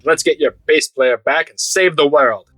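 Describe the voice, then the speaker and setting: An actor playing a wisecracking, self-important, indignant boss in an audio drama. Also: male voice, a little high, normal speed, sharp tone, no room sound. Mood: blustery.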